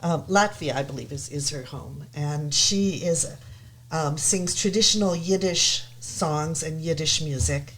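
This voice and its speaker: Low-voiced woman, hesitant and eager. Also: a little slow, a bit bright. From United States. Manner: hesitant, many ums, enthusiastic. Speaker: guest. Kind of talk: casual chat.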